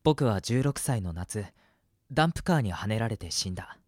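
A man voicing the gentle, cautious ghost of a sixteen-year-old boy in a radio drama, earnest and reassuring. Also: lowish voice, expressive, moderate pace, slightly rough, dry recording, clean studio sound. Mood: gentle, explanatory. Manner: soft-spoken, earnest, reassuring.

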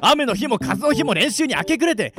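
A man playing a melodramatic, whiny high school baseball player in an amateur radio drama. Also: sing-song, fairly quick. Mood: sulky, indignant. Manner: theatrical, whiny outbursts.

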